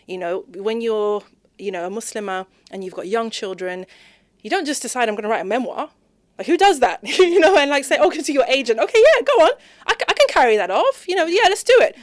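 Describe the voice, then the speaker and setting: Woman from zimbabwe chatting in an interview, lively, gets quick and high when excited, dry mic. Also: no echo. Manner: expressive, speeds up and rises in pitch when animated. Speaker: podcast guest. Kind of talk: conversational interview.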